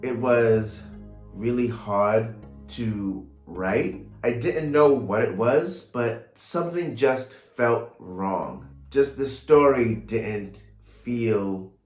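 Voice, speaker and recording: A man in his thirties talking casually to camera in a vlog, measured, pausing between phrases. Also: medium pitch, darkish tone. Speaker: writer and vlogger. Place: small home room, indoors. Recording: roomy echo, light music behind.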